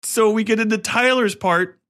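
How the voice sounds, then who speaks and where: A man hosting a podcast, giving casual, rambling film commentary in an excitable, emphatic, sweary way. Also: high-pitched, moderate pace. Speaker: podcast host.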